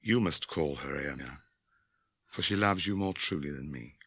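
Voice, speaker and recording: A man's voice: extremely low pitch, normal speed, fairly bright tone, slightly rough, full character voice. An actor playing a grave, solemn returned king and healer in a 1980s radio drama. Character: noble kingly male healer and returned king.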